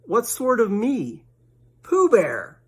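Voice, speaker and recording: Man putting on a high, plaintive storybook bear. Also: huge pitch swings, takes it slowly, husky, heavily acted. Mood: puzzled. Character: naive, good-natured, hungry bear who easily frets. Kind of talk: bedtime storybook reading.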